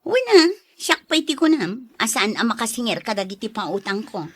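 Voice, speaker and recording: A woman acting a sharp-tongued, easily annoyed moneylender and wife in an Ilocano audio drama, exclaiming and complaining. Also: on the high side, very animated, normal speed, breathy edge, heavily acted. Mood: annoyed, dismissive.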